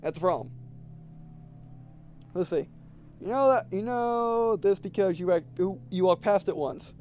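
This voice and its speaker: A man with a noticeably high-pitched voice commentates casually over a video game. He reads dialogue aloud and reasons through it, circling back and restarting phrases as he goes. A faint hum sits behind his voice. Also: unhurried, a little mellow. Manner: rambling, thinking aloud, repeating and restarting phrases. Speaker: gameplay video host.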